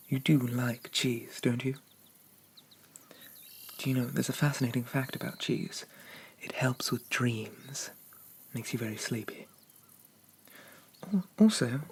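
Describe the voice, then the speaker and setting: A man playing a haughty, possessive demon prince of dreams in an ASMR roleplay, speaking formally and pompously in a soft, often whispered voice. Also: mid-range voice, husky, heavily acted. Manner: pompous, formal, archaic diction. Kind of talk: ASMR roleplay, addressing the listener.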